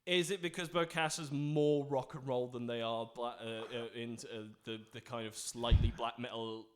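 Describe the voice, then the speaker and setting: A man's voice: mid-range voice, steady tone, normal speed. A man co-hosting a casual round-table album review, joking and prompting the others with questions. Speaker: podcast co-host.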